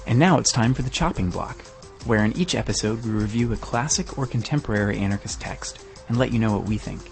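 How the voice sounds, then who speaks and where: Lowish-voiced man reading over music. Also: brisk, gravelly. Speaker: podcast co-host. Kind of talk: scripted narration.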